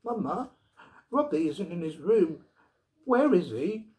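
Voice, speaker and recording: A man voicing an excitable, tearful little girl from a storybook, pleading and shrill. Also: takes it slowly, heavily acted. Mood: anxious. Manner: childlike, pleading, fierce when upset. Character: tearful, excitable little girl who adores her robot. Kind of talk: storybook character reading.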